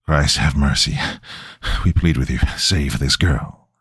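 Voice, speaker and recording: A male narrator acts out a stern man leading an exorcism in a horror tale. His voice is very deep and solemn, commanding and prayerful, recorded dry and close. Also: a little slow, a bit bright, slightly rough, no echo, full character voice. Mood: insistent.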